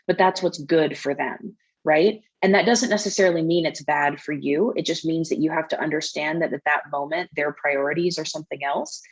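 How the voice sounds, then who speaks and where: A woman's voice: deep voice, poor audio. A woman answering interview questions about business in an earnest, thoughtful way, restarting some sentences. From United States. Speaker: podcast guest, sales manager. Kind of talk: casual interview talk.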